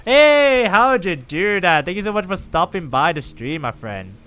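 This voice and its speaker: A man chatting casually with viewers and reacting out loud while he plays a game on a live stream. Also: high voice, moderate pace, smooth. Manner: chatty, animated, lively reactions while playing.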